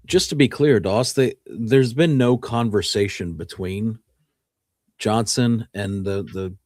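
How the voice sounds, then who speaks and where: A man's voice: on the low side, takes it slowly, darkish tone, no echo, studio quality. A man co-hosting a radio show, speaking casually as he interjects and asks for clarification.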